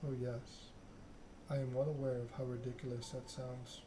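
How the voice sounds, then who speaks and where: A man's voice: mid-range voice, level tone, moderate pace, noisy background, natural voice. A man voicing an aloof, scheming female vampire patron from a game, formal and condescending, in an ASMR reading. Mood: wry, patient.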